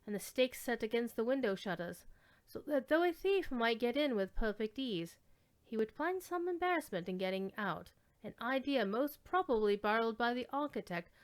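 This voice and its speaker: Clear, high-voiced woman reading a classic tale. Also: animated, normal speed. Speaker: storyteller reading aloud. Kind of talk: dramatic reading of classic literary fiction.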